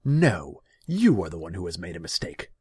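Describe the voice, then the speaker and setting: A man voicing a cruel, hot-tempered young khan in a fantasy story, loud, indignant and imperious. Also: deep voice, fairly even intonation, a little slow, no echo, studio quality, pitched above the performer's own voice. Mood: commanding.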